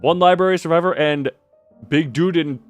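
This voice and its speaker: A man streaming a game, giving casual, rambling live commentary with animated reactions. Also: a little high, moderate pace, soft background music.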